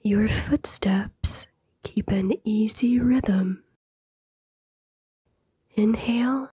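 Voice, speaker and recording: A woman softly narrating a scripted sleep meditation in a hushed, breathy whisper, soothing and unhurried, with long pauses. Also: mid-range voice, dry recording.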